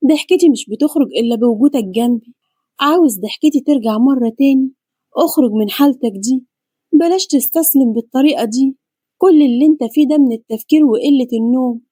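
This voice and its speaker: A woman voicing a devoted, worried woman in love, earnest and gentle, with an Egyptian accent. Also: sits high, slightly rough, no echo, studio quality. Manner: earnest, pleading.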